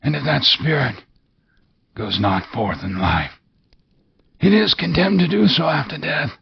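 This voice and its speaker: A man voicing the wailing, chained ghost of an old miser, with a high quavering voice that rises to cries. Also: animated, measured pace, creaky, husky, full character voice. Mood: mournful wailing. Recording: dull lo-fi recording with faint hiss.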